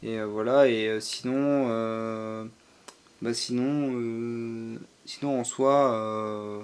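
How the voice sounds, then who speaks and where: A man's voice: medium pitch, fairly even intonation, slow pace, smooth. A young man co-hosting a casual live stream, hesitant and rambling as he thinks aloud. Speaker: streamer, co-host.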